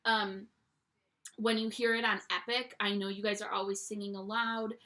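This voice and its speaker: A young woman teacher reading a picture book aloud to children at home, in an animated storytime voice that invites them to join in. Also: medium pitch, moderate pace. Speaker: primary school teacher.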